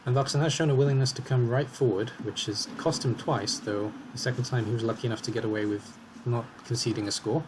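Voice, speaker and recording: A man commentating his own match in a calm, analytical way as he talks through each move, slightly hoarse. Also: mid-range voice, fast, hardly any pauses, noisy background. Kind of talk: casual game commentary.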